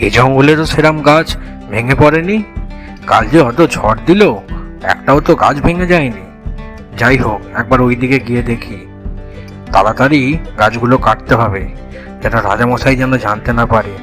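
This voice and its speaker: Village woodcutter dad for a cartoon, played by a man: mid-pitched, practical and bossy, over clear background music. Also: normal speed, long pauses, a bit bright, lightly acted. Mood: furtive, hurried. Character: hardworking village father and woodcutter, bossy, furtive. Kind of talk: cartoon dialogue.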